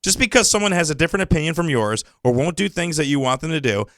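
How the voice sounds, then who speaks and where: A man's voice: a little high, lively intonation, fast, a bit bright, no echo. A man co-hosting a comedy show, bantering and reading aloud in a teasing, exclamatory, casual way.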